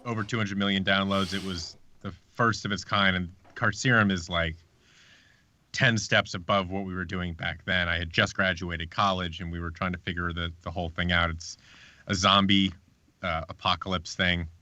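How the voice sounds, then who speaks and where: Male interview guest, thirties. Lower-pitched, bright punchy delivery, chatty with restarts, slightly dull audio. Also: fairly even intonation, moderate pace, dry recording. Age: 30s. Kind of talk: casual live interview.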